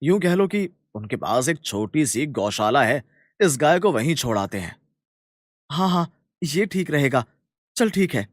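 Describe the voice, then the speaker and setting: A man voicing a kind, earnest young village farmer in a cartoon story, with a colloquial, animated manner. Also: fairly high pitch, measured pace, no room sound, studio quality. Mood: friendly, concerned. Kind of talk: Hindi cartoon story dialogue.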